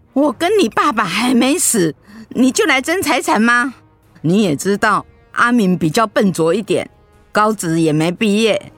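A woman's voice: a little high, no echo. A woman playing an elderly, firm but generous mother-in-law in a radio drama, speaking plainly. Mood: indignant scolding. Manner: scolding, plain-spoken.

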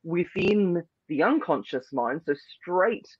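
A man's voice: sits high, animated, slow, husky, no room sound. A man with a slightly raised voice explains his practice in long, flowing, earnest sentences. He leans on words like "beautiful" and "powerfully". The sound is dry and muffled, like a lo-fi remote call. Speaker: hypnosis and NLP practitioner, guest. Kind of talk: interview answers, explaining.

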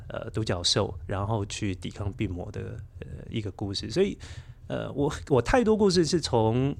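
A man in his forties with a Taiwanese Mandarin accent, speaking thoughtfully as an interview guest and explaining with gentle emphasis. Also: fairly low pitch, creaky. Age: 40s. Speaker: guest, children's story podcaster. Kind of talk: reflective interview talk.

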